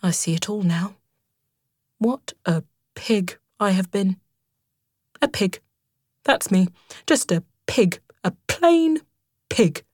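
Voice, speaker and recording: A woman voicing a kind, resolute female water rat who talks cheerfully and briskly. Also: mid-range voice, sing-song, darkish tone, slightly rough, dry recording, studio quality, exaggerated voice. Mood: gentle. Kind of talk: storybook character voice.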